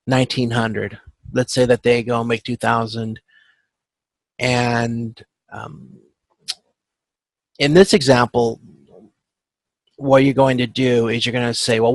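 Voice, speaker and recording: A man giving an informal online lecture as an instructor, talking in a halting, self-correcting way and often checking in with the listener. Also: mid-range voice, no echo, rough recording. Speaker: instructor teaching supply chain management. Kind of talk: informal lecture walking through spreadsheet calculations. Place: online video conference with screen share.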